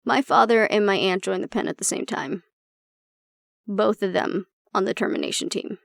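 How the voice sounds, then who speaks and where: A woman performs an exasperated female agent in an intimate audio drama. Her voice is creaky and mid-pitched, recorded close and dry. She talks bluntly and sardonically, as if confiding in one person. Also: normal speed, no room sound, lightly acted. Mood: reflective, explaining. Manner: blunt, sardonic, conversational, swearing. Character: exasperated woman agent venting about her stubborn, disapproving father. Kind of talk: audio roleplay acting, intimate monologue to the listener.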